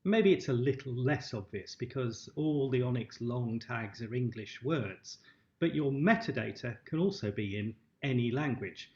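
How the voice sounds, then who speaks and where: A man with a medium-pitched voice explains material as a webinar presenter. His delivery is prepared and instructional, heard through a dull recording in an echoey room. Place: indoor room. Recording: dull, roomy webcam-style recording.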